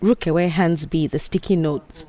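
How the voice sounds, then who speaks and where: A woman's voice: deep voice, fairly even intonation, measured pace, mellow. A woman with a Nigerian accent narrating a scripted audio drama.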